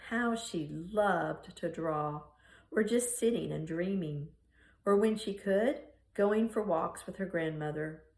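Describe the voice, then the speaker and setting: A woman reading a children's picture book aloud in an expressive storytelling style. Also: on the low side, takes it slowly. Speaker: storybook reader. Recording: roomy, echoing room sound.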